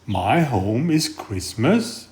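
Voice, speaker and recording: A man's voice: medium pitch, sing-song, drawn-out words, a little mellow. A man voicing a curious, wide-eyed little female owl in a storybook. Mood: wondering.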